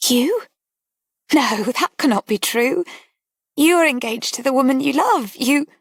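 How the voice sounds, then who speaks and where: Brief: a woman playing a high, tremulous young lady on the edge of tears, breathy and pleading, storybook reading. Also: lively intonation, measured pace, no echo, studio quality, heavily acted. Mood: earnest. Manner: breathless, pleading, breaking into whispers. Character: anguished young woman, tearful and trembling.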